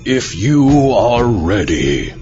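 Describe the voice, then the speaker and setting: A man voicing a giant, venerable Santa Claus who speaks in a grave, halting way in a horror story reading. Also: mid-range voice, sing-song, a little mellow, dull audio, faint music behind, voice pushed up. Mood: solemn. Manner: solemn, halting, drawn-out.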